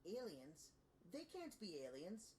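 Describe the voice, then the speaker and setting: An actor playing a nervous, earnest teenage boy in an audio drama, with a hesitant, halting manner. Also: sits high, huge pitch swings, a little mellow, exaggerated voice. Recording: faint background noise.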